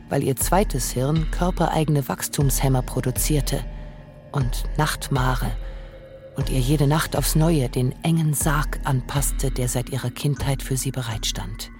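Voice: medium pitch, a little mellow. Radio drama narrator over music.

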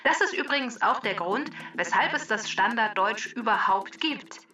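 A woman voicing a friendly computer helper on a children's show, explaining facts in a clear, precise, matter-of-fact way. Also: on the high side, lively intonation, moderate pace, bright, forceful tone, muffled recording. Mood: neutral. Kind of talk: scripted educational narration. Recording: distant voice over background music.

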